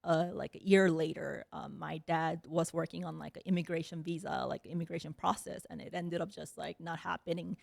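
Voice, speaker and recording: A woman's voice: sits low, flat delivery, a little fast, gravelly, hardly any pauses, no echo, studio quality. A young woman from South Korea, a guest in a casual interview, talking freely with lots of "like" and "um" fillers and frequent laughter. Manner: chatty, many "like" and "um" fillers, laughs often.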